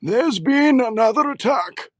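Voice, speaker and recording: A man as a slow, high-pitched grave old wizard. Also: expressive, dry recording, studio quality, heavily acted. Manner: slow, solemn, measured. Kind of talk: audio drama acting.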